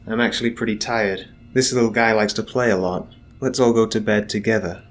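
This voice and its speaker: A man voicing a menacing, controlling husband who talks in a low, cool tone. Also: measured pace, close to an everyday voice. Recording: faint music underneath.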